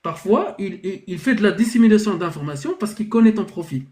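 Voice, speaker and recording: A man with a notably high voice hosts a live debate over a video call. He talks hesitantly, circling back and restarting his sentences. He keeps reassuring the others, calm and conciliatory. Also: a little fast, a crowd in the background. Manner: hesitant, many restarts and fillers.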